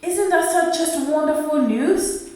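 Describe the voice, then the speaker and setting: Woman acting high-voiced devout, long-suffering wife in echoey room. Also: a little slow, a little mellow. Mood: wounded, defiant. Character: devout, long-suffering wife and mother, hurt but defiant. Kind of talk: radio play acting. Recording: echoey room, far from mic.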